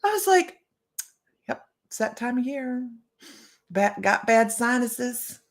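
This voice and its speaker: A woman's voice: a little high, lively intonation, takes it slowly. A middle-aged woman hosting a live stream as a psychic medium, chatting to viewers and greeting them by name.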